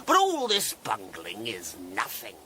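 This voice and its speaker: An actor playing a vicious gang boss in a detective radio drama, cutting, contemptuous and commanding. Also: male voice, on the low side, huge pitch swings, measured pace, bright, forceful tone, exaggerated voice. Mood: scornful anger. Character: vicious gang boss berating bungling henchmen.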